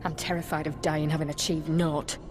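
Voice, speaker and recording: A woman's voice: sits low, flat delivery, a little fast, music in the background, close to an everyday voice. A woman playing a proud, grimly dutiful military commander in a video game, formal and submissive toward her superior. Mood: grim, resigned. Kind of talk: video game cutscene acting.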